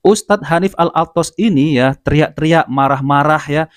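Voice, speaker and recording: A man hosts a religious commentary show in a slightly higher voice, casual and conversational with frequent fillers. His voice is close and dry, with no room sound. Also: moderate pace, no echo. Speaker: host.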